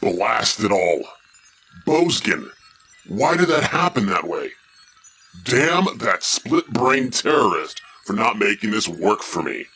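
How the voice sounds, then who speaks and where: Armored tyrant, audio drama villain, slow commanding delivery, sharp husky edge, menacing, impatient. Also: male voice, fairly low pitch, big pitch swings, a little hiss or hum, heavily acted. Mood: angry, frustrated.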